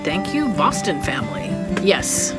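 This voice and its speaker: A woman's voice: deep voice, wildly swooping pitch, a little slow, loud music behind the voice. A woman vlogger narrating history in a casual, conversational way with wry, playful asides to her viewers.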